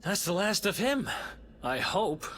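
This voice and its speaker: A man's voice: sits high, big pitch swings, a little slow, fairly bright tone, a little hiss or hum, exaggerated voice. An actor voicing a cocky young male thief in a game cutscene, full of sarcastic quips. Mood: wry. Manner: quippy, sarcastic asides. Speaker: game character. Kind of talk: video game cutscene voice acting.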